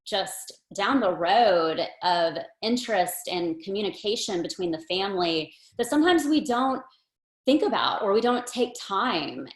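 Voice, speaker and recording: A woman talks in a low, earnest voice and encourages her listeners as host of a webinar. Her voice carries a roomy echo, as if she is on a video call from a bare room. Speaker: nonprofit founder and host. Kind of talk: webinar discussion.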